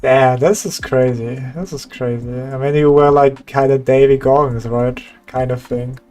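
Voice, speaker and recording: A man's voice: medium pitch, steady tone, normal speed, darkish tone, smooth, soft background music. A young man chatting casually with viewers on a stream, laid-back and laughing often. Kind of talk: casual livestream chat.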